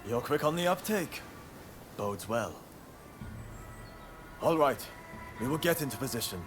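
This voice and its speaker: A man's voice: mid-range voice, lively intonation, a little slow, natural voice. A man playing a brash, defiant samurai rebel commander in a video game cutscene, with a commanding, theatrical delivery. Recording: music behind the voice.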